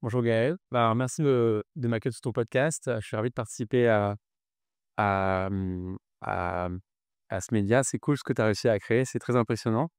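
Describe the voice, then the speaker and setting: Man, thirties, podcast guest; low, clean voice, conversational with halting repeats, close dry sound. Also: clear, resonant tone, no echo. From New Caledonia. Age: 30s. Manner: hesitant, frequent fillers and repeated words. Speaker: podcast guest, finance executive. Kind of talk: conversational interview answers.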